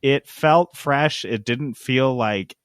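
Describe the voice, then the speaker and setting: A man's voice: medium pitch, slow pace, dry recording, studio quality. A man hosting a casual gaming podcast, talking informally and with slang. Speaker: podcast host.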